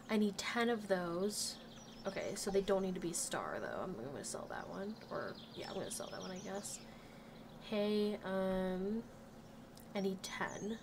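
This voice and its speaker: A woman's voice: mid-range voice, a little hiss or hum. A woman with a slightly nasal voice, still recovering from a cold, chatting casually as she plays and talks to the game characters. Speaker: gaming channel host. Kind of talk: casual let's play commentary. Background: game music.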